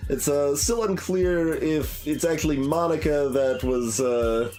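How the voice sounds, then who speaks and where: A man's voice: on the high side, a little slow, a little mellow, soft background music. A man streaming a game playthrough, talking casually to viewers in a hesitant, rambling way as he thinks aloud.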